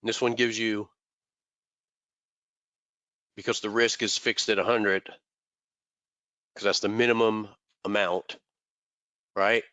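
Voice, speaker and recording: A man's voice: fairly low pitch, level tone, slow pace, pauses often, sharp tone, no echo. Congested man coaching traders. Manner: rambling, repeats words. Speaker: trading mentor. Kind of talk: casual live teaching session. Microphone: desk microphone.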